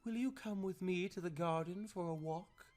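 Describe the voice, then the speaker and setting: Storybook she-devil, voiced by a man: youthful, coy, ardent, noticeably raised pitch. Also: normal speed, a little mellow, faint music behind, close to an everyday voice. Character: young, shy yet amorous female devil granddaughter.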